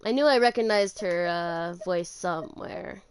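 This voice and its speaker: A woman doing casual live gameplay commentary as a game streamer, talking haltingly and thinking aloud to herself. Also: fairly low pitch, smooth, no echo. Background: video game music.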